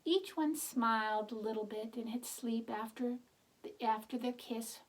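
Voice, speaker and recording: A woman's voice: fairly high pitch, a little slow, rough recording. Woman reading expressively to young children. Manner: expressive, explains words and pictures, asks gentle questions. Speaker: children's storybook reader. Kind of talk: read-aloud narration with simple asides to young children.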